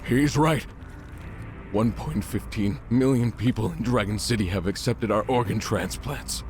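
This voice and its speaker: An actor playing a fearful, submissive corporate manager held hostage in a video game cutscene. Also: male voice, fairly low pitch, measured pace, a little mellow, close to an everyday voice. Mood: anxious, resigned. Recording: faint noise behind the voice.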